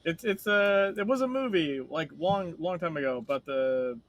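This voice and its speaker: A man co-hosting a casual chat, telling stories in a rambling, animated way and swearing freely. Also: on the high side, unhurried. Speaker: podcast co-host.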